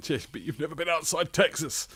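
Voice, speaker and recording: A male host with a lively, bouncing pitch, who prompts his guest with questions in a casual chat. He slips in light jokes as he goes. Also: a little fast, husky.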